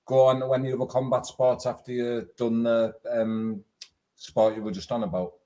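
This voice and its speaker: A man's voice: medium pitch, flat delivery, normal speed, dark tone. Chatty man hosting an interview. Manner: friendly, chatty, informal slang. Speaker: podcast host. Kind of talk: casual interview chat.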